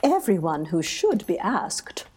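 An imperious storybook queen, as a male narrator puts her on, pitched high and husky. Her voice swoops dramatically and drawls with scorn, dismissive and impatient. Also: huge pitch swings, slow, a little mellow, full character voice. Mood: tart. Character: haughty, scornful, impatient fairy-tale queen.

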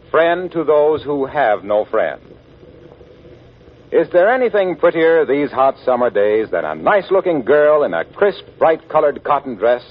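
Vintage radio announcer, male, lightly raised pitch, very lively intonation, selling from a script, muffled old recording.

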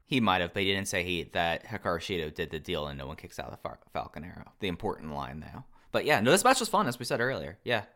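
Deep, bright-voiced man chatting. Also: very animated, fast, hardly any pauses. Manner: rambling, says "like" constantly. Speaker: panelist on a wrestling review show. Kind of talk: casual chat.

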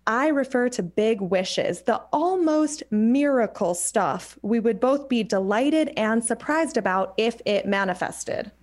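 A woman hosts a relaxed conversation and reads aloud from oracle cards. Her voice sits a little high. She slows to a measured pace for the quoted passages and speaks chattily between them. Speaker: podcast host reading oracle cards. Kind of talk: casual chat.

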